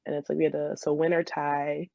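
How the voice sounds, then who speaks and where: A woman's voice: low voice, brisk, vocal fry, no echo, muffled recording. A woman in her twenties with an American accent, chatting informally as an interview guest and retelling things in a giggly, casual way. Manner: giggly, informal, animated retelling with quoted asides. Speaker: interview guest, former college soccer player.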